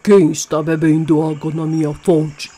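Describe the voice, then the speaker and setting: A woman voicing a menacing, hungry guardian of a fountain, slow and commanding, in a children's story. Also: level tone, dark tone. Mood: angry, menacing. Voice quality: deep, put-on low voice.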